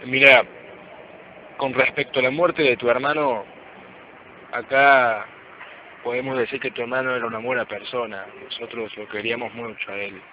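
A man's voice: mid-range voice, steady tone, moderate pace, long pauses, lo-fi sound, natural voice. Amateur actor as earnest company man, noisy recording. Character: Fernando, sincere male company worker revealing a crime. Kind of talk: amateur detective radio play acting.

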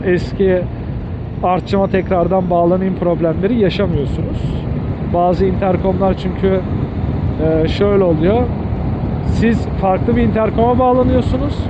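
A man giving an informal, explanatory product review to viewers, often rephrasing his points. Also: sits high. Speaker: motorcycle vlogger reviewing gear. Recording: dull audio under loud vehicle noise.

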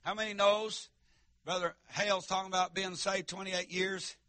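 A man preaching a fiery holiness sermon, with stammering restarts and shouts to the congregation. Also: high voice, level tone, moderate pace, no room sound. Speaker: pastor. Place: church sanctuary.

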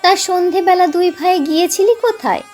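A woman voicing a brisk, questioning village aunt in a narrated story. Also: very high voice, darkish tone, voice pushed up. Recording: music playing behind the voice.

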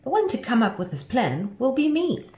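A woman's voice: fairly high pitch, very animated, normal speed, a little mellow, roomy sound, voice pushed up. A woman voicing a vain, bossy young female butterfly in a children's story, haughty, scornful and theatrical.

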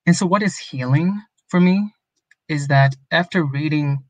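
A man's voice: fairly high pitch, a little slow, a little mellow, no room sound. A man in his thirties from Minnesota, an illustrator, speaking earnestly and openly on a video call. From Minnesota, USA. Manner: earnest, open, personal. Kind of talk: conversational guest talk. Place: home room.

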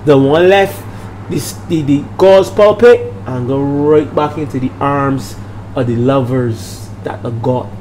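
A man's voice: medium pitch, takes it slowly. An older man with a Bajan accent talks casually as a minister hosting his vlog, preachy and emphatic, repeating phrases and checking in with listeners. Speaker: minister and channel host. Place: small room at home, indoors. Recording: noisy room with steady background hum.

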